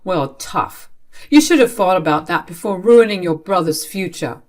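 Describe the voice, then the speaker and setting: A woman's voice: on the low side, normal speed, darkish tone, lightly acted. A woman voicing an angry, unfair father in a dramatized story, speaking in a stern, accusatory way. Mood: angry, accusing.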